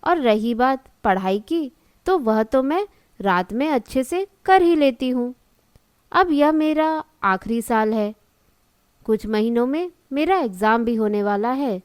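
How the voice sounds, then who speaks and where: A woman voicing a dutiful, gentle college-age daughter in a cartoon story, speaking respectfully and reassuringly. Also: a little high, expressive, unhurried, clear, resonant tone, dry recording. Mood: earnest, reassuring. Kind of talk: Hindi cartoon story dialogue.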